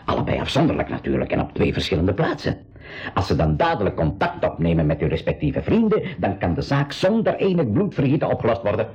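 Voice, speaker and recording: An idealistic older Flemish professor in a radio play. His voice is very husky and swoops widely as he explains things deliberately. The recording is dull and dated. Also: lowish voice, wildly swooping pitch, darkish tone, full character voice. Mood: earnest, thoughtful. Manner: deliberate, explanatory, with hesitant repetitions. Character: idealistic older uncle, professor and vehicle inventor. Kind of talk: 1980s educational radio play acting.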